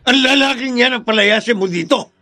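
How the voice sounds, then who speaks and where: An actor playing an elderly, hot-tempered, protective grandfather with a raspy voice in a Filipino radio drama. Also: very high voice, brisk, bright, forceful tone. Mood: enraged. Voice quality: gravelly, raspy. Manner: indignant, emphatic.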